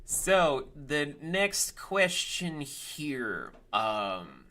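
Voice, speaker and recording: A man's voice: a little high, sing-song, very slow, bright, forceful tone. A man in his late twenties hosting a casual interview, blunt and sarcastic, who swears freely. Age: late 20s. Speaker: podcast host interviewing a voice actor.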